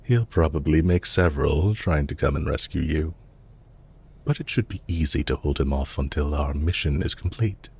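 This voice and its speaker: A man playing a suave, calculating villain leader in an intimate audio roleplay, speaking to the listener politely and softly, with measured, lightly teasing courtesy. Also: very deep voice, vocal fry, husky, exaggerated voice. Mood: probing, assured.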